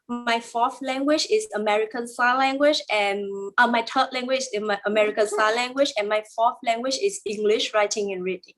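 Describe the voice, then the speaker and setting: A woman voicing a deaf guest's answers as a live interpreter, her voice sitting on the higher side. She speaks in plain, careful phrasing, pausing often and filling gaps with hesitant sounds. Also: a bit bright. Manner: halting, many pauses and fillers, plain careful phrasing.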